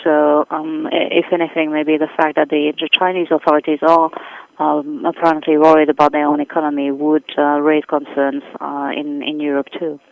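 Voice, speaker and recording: A woman's voice: low-pitched, monotone, normal speed, gravelly, dry recording. A woman speaking by telephone as an economic expert, giving spontaneous commentary in a hesitant way, repeating words as she reasons. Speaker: senior economic advisor, interview guest. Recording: dull, muffled phone line.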